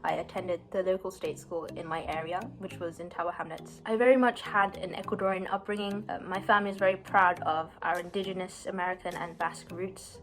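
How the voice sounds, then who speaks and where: A woman's voice: fairly low pitch, steady tone, brisk, hardly any pauses, a bit bright, soft background music, low-quality recording. A young woman, earnestly telling her personal story as a student, with some hesitant fillers. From London, England. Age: 20s. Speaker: university student. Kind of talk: personal story, read to camera.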